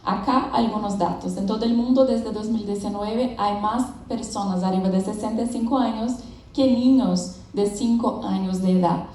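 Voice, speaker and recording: A young Brazilian woman with a Portuguese accent gives a friendly talk at a business conference, pausing to find her words and laughing now and then. Her voice is mellow and dark. The sound is very echoey, picked up from a distance in a big hall. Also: mid-range voice, a little hiss or hum. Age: young adult. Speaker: conference speaker. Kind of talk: conference presentation. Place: large event hall with audience.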